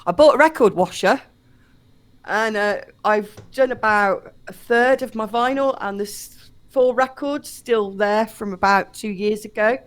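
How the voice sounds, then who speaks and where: A woman's voice: fairly high pitch, measured pace. A woman telling personal anecdotes in a casual chat as a live stream guest.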